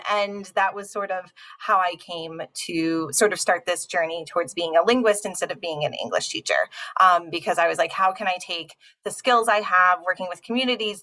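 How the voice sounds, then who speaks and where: A woman's voice: fairly low pitch, bright, forceful tone, poor audio. A young adult woman giving an informal academic talk as a linguist, explaining things in a conversational way with frequent asides. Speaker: linguist giving a panel presentation.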